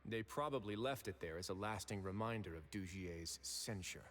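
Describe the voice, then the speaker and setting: A man playing a composed, shrewd duke in a video game, speaking in a measured, articulate, authoritative way. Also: on the low side, fairly bright tone, natural voice. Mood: grave. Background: game music.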